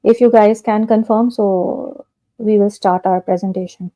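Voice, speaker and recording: Polite hesitant woman host, mellow voice. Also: mid-range voice, steady tone, a little slow, low-quality recording. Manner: hesitant, many fillers, polite. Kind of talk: hosting a live stream.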